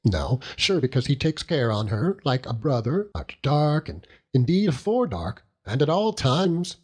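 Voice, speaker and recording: Hearty old country seaman, chuckling, overjoyed, lively pitch, unhurried audiobook character. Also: slightly rough. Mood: jubilant. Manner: chuckling, rambling, dialect-heavy storytelling with exclamations. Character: hearty old working-class seaman uncle, doting and overjoyed.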